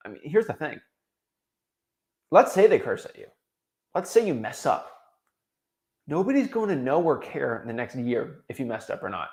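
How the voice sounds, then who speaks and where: A young man from Florida giving an energetic motivational talk, full of restarts and tagging his points with "right?". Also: mid-range voice, normal speed, pauses often. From Florida, USA. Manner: energetic, repetitive tag "right?", frequent restarts. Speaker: real estate wholesaling coach.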